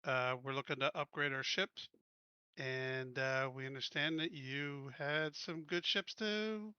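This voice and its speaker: A man's voice: a little high, fairly even intonation, measured pace, sharp tone, smooth, no echo, dull audio. A man playing a tabletop game, speaking hesitantly as he answers in character. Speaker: player. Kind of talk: casual tabletop play over voice chat.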